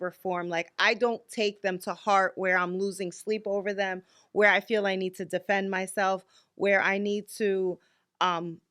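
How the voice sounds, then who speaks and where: A woman, co-hosting a podcast in a frank, unfiltered way. She talks at a middling pitch and leans on fillers as she thinks aloud. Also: fairly bright tone, smooth. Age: 50s. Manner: candid, blunt, many filler likes. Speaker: podcast co-host. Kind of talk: casual chat.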